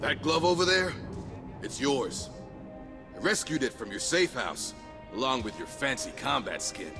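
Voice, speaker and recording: A gravelly video game ally, a roguish barkeep and old soldier. He talks with folksy, affectionate familiarity and a lively, swinging pitch. Music plays clearly behind him. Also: unhurried. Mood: friendly, businesslike.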